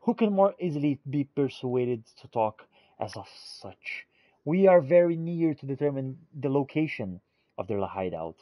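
A man giving casual in-character game commentary and reading notes aloud, halting and muttering as he goes. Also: medium pitch, very animated, no echo, muffled recording. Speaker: gamer roleplaying and narrating a playthrough.

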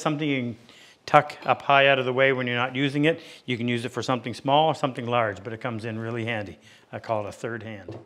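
A man's voice: medium pitch, a bit bright. A man in his fifties giving a plain-spoken, practical hands-on lesson as a woodworking instructor in a workshop. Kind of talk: hands-on instructional demonstration, unscripted explanation.

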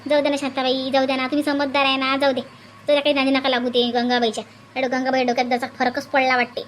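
A woman voicing several bickering village women in a cartoon, shrill and scolding, with a Varhadi Marathi accent. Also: completely flat delivery, quick pace, slight background noise, natural voice. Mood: soothing. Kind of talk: cartoon voice acting.

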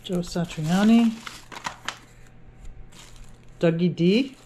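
A woman, a vlog host, chatting casually and reading titles out loud. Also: fairly low pitch, very slow. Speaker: host, vintage reseller. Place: cluttered room indoors at home. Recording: echoey room, distant mic, faint noise.

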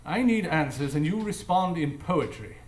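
A man's voice: on the high side, expressive, normal speed, close to an everyday voice. A man playing a coolly logical, imperious detective in a live radio drama, formal and clipped. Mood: commanding, probing. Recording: roomy live stage.

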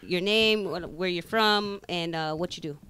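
A woman's voice: lowish voice, unhurried, a crowd in the background. A woman hosting a podcast, asking questions hesitantly and repeating them, in a small studio. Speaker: podcast host. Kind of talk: casual interview.

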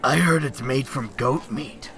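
A man's voice: mid-range voice, fairly bright tone, some chatter behind. A man voicing a laid-back, blunt teenage boy with slangy speech in a game dub. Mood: wary. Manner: slangy, drawn-out. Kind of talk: game fandub acting. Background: guitar music.

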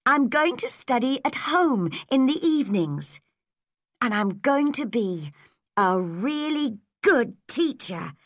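A woman's voice: on the high side, sing-song, takes it slowly, a bit bright, no echo, clean studio sound, pitched above the performer's own voice, full character voice. A woman voicing an eager, earnest teenage girl full of hope.

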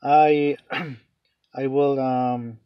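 A man explaining a phone reset step by step off camera, halting with many fillers, in non-native English phrasing. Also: mid-range voice, level tone, smooth, no echo, muffled recording. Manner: hesitant, many fillers and restarts. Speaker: tech tutorial channel host. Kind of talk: off-camera phone tutorial voice-over.

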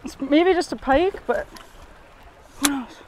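A woman's voice: high-pitched, takes it slowly, background noise. A young woman chatting casually as a fishing companion outdoors on a boat. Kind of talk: casual chat. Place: outdoors on a small boat on a lake.

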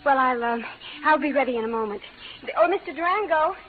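Demure young frontier bride. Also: female voice, high voice, animated, fairly quick. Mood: nervous. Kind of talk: 1940s-50s radio western acting. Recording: muffled, noisy vintage radio recording.

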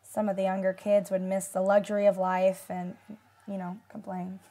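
An actress playing a wistful disaster survivor in a radio drama, recalling the past in a measured, reflective way. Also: female voice, mid-range voice, completely flat delivery, warm tone, close to an everyday voice. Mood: matter-of-fact. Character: female asteroid-disaster survivor recalling shelter life, wistful. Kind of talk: radio drama acting as interview testimony.

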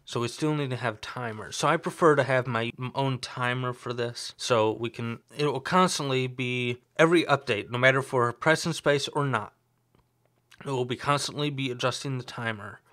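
A young man with a slight sore throat, talking through a screen-recorded coding tutorial in a relaxed, explanatory way. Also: mid-range voice, moderate pace. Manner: relaxed, explanatory, thinks aloud with fillers. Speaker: tutorial host.

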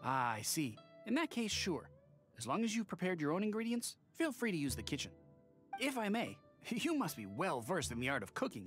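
A courteous innkeeper from a video game. He speaks formally and encouragingly, with a lively, varied pitch. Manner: polite, measured, formal. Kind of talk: video game character acting.

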